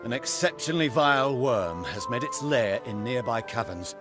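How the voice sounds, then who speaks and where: A man acts out a chivalrous, zealous knight-errant from a fantasy game. His delivery is pompous and declamatory, swinging widely in pitch around a middle register. Music plays clearly underneath. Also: expressive, moderate pace, close to an everyday voice. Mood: relishing, disgusted. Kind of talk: theatrical game dialogue in archaic phrasing.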